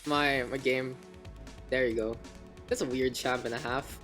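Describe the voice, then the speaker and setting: A man with a gravelly voice hosting an esports livestream, mixing casual slangy chat and game commentary. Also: mid-range voice, steady tone, measured pace, music in the background. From Philippines. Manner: informal, gamer slang, joking asides. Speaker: esports caster, streamer hosting the match. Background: video game sounds and announcer.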